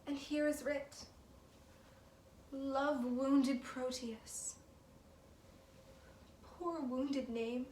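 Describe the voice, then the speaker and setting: A woman's voice: high voice, fairly even intonation, drawn-out words, long pauses, a little mellow. A woman acting a passionate, lovesick young noblewoman in a theatrical Shakespearean stage monologue, dramatic and emphatic, lingering on words. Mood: tender, rueful. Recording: roomy, distant mic, faint background noise.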